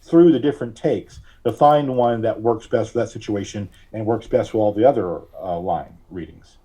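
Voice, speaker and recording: A man talking casually as a podcast guest, halting and thinking aloud as he goes. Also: on the low side, warm tone, slightly rough. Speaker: audio drama writer. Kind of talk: casual interview talk.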